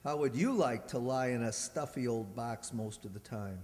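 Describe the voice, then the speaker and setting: A man acting in a radio play as a polite, aggrieved humanoid robot double, measured and plaintive, recorded in an echoey hall. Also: medium pitch, a little mellow, natural voice. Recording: echoey hall with long reverb.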